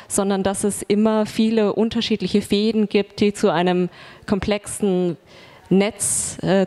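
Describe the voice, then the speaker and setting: A woman in her forties, an artist and publisher speaking in a measured, articulate way about her work into a handheld mic in a gallery. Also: medium pitch, darkish tone. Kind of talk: talking about her artwork. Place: gallery room.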